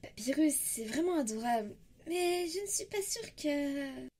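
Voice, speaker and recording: Woman playing a gentle motherly monster queen. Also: high-pitched, expressive, unhurried. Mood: gentle, doubtful. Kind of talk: comic dub voice acting.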